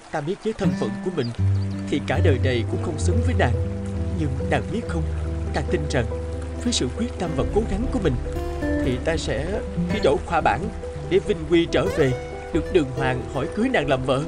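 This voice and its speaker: A man's voice: a little high, expressive, moderate pace, loud music behind the voice, close to an everyday voice. A man voicing a humble, earnest young servant scholar in a folk-tale cartoon, deferential and hesitant. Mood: anguished, earnest. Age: young adult. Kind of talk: animated folk-tale dialogue.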